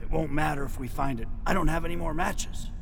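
A man's voice: on the high side, brisk, vocal fry, fairly bright tone. A man playing a level-headed deputy in a radio drama, speaking cautiously and matter-of-factly. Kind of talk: amateur radio drama acting.

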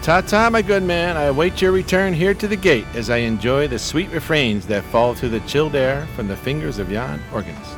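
Man playing a pompous, lively gentleman hobo. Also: fairly high pitch, brisk, few pauses. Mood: contented. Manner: pompous, florid, theatrical. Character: grandiose, dreamy homeless man with lofty diction. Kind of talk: radio play dialogue. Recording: music playing behind the voice.

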